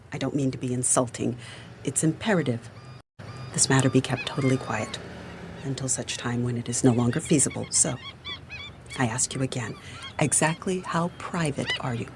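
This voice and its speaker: A woman's voice: rumbling low, moderate pace, background noise. An actress playing a proud, anxious businesswoman in a radio drama, speaking formally and precisely. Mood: guarded, formal.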